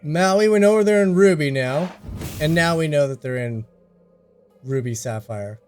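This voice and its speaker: A man's voice: a little high, unhurried, faint music behind. Sick man losing his voice, gaming chat. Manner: chatty, explains deck choices while playing. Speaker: card game content creator. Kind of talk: casual gameplay commentary.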